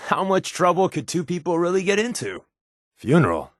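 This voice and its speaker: An actor playing a wisecracking, sardonic spy companion in a video game, with casual scripted dialogue. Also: male voice, high-pitched, big pitch swings, moderate pace, dry recording, clean studio sound. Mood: wry, joking. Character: wry, joking male spy companion with dry swagger.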